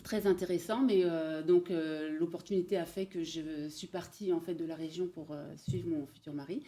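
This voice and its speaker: A woman in her forties from Paris, telling her career story as a guest in a casual interview, pausing often with fillers. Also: lowish voice, fairly even intonation, mellow. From Paris, France. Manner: hesitant, many pauses and fillers. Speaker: guest, bridal showroom manager.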